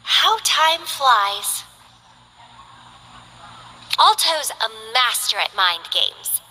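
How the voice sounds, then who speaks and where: A woman's voice: on the high side, very animated, very slow, pushed and bright, big-room sound, soft background music, heavily acted. A woman voicing a languid, bored young mercenary who loves plants, in game character voice lines with a lazy, teasing drawl.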